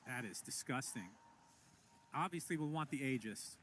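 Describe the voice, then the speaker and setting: A man doing play-by-play commentary on an esports match, quick to burst into exclamations. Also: medium pitch, a little hiss or hum. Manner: excitable, breaks into exclamations. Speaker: esports caster.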